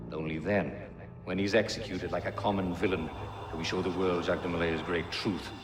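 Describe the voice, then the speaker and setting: Actor as deep, cold scheming grandmaster. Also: male voice, little pitch movement, a little fast, close to an everyday voice. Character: cold, scheming male secret-order grandmaster. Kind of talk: video game cutscene acting. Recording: long echoey reverb, loud music.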